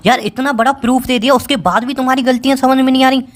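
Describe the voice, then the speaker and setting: A man's voice: very high-pitched, fairly even intonation, fast, fairly bright tone. A man plays a bitter young boyfriend in a romantic phone-call drama. He talks in casual, colloquial words, with an accusing, sarcastic and distrustful manner.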